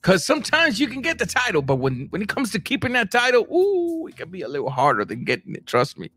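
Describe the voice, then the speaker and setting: A man from Cuba, hosting a casual boxing livestream and reading viewer comments, animated, stumbling over his words and jumping up in pitch. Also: fast. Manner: animated, repeats words and restarts, rising to high bursts.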